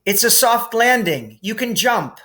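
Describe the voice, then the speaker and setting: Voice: mid-range voice, sing-song, measured pace, bright, forceful tone, voice pushed up. A man voicing a brave, practical young boy wizard in an urgent, earnest manner for a storybook.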